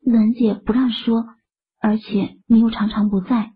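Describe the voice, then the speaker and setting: A woman playing a worried, dutiful young office colleague in an audio drama, with a husky, breathy voice. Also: on the high side, fairly even intonation, a little slow, phone-quality sound. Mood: aggrieved.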